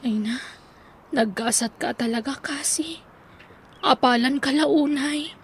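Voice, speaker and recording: A woman with an Ilocano accent playing a wistful, heartbroken young woman in a radio drama, hushed and sorrowful with a breathy voice. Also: high voice, slow, a little hiss or hum. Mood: sorrowful, envious. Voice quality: breathy, husky.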